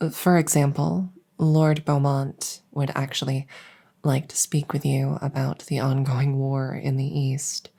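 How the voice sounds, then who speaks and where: Woman playing vampire maid, mellow, slow, polite, soothing, attentive, ASMR roleplay. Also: low-pitched, vocal fry, slightly rough, full character voice. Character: devoted, gentle vampire maid serving a weary monarch. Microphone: close stereo condenser mic.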